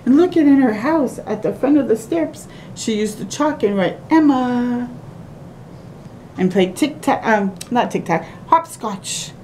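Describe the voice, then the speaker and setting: A woman's voice: expressive, unhurried, mellow. A middle-aged woman reading a children's picture book aloud with asides, in simple sing-song phrasing addressed to little ones. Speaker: children's storytime reader. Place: small room at home, by a window. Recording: clear background noise with low rumble.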